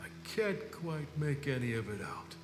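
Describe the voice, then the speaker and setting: An actor playing a dutiful, loyal security chief in a sci-fi audio drama, formal, deferential and hesitant. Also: male voice, medium pitch, sing-song, a little slow, over music. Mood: uncertain. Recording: echoey hall, faint background noise.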